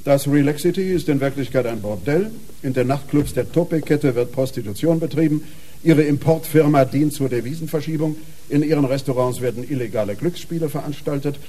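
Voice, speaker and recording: An actor playing an imperious investigating judge in a radio drama, curt, commanding and formal. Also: male voice, on the high side, brisk, dark tone, close to an everyday voice.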